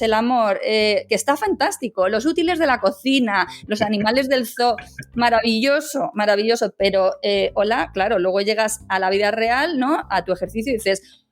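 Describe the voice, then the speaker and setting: A woman's voice: medium pitch, no room sound. A woman, a legal English teacher, giving emphatic, conversational interview answers full of tag questions.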